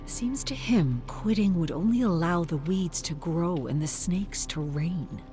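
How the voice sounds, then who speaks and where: A narrator reading a suspenseful scripted story in a measured, dramatic audiobook style. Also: mid-range voice, expressive, mellow, faint music behind.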